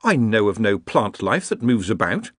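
A dazed Victorian gentleman scholar, as a man puts him on, formal and perplexed, pitched high above the reader's voice. The sound is close and dry. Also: very animated, normal speed, breathy edge, no room sound. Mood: bewildered, formal.

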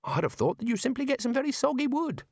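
A man voicing an anxious, absent-minded male god who talks in a high, puzzled, fussy way. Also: very animated, fast talker, darkish tone, dry recording, studio quality, exaggerated voice. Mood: fretful.

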